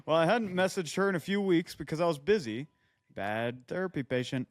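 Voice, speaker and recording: A man with a fairly high voice co-hosts a chatty comedy podcast. He reads things aloud and keeps stepping out of the text with quips and side remarks, in a very dry, echo-free sound. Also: fairly quick. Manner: interrupts his own reading with quips and asides. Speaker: podcast co-host. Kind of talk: casual comedic banter and reading listener letters aloud.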